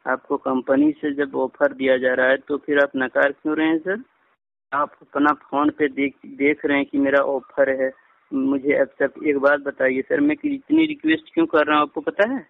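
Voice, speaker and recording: A man's voice: mid-range voice, normal speed, vocal fry, dry recording. Insistent male caller on a tinny phone line. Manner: insistent, repetitive, addresses listener as sir. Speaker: phone scammer posing as customer care agent. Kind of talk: persuasive sales phone call. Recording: tinny phone call recording.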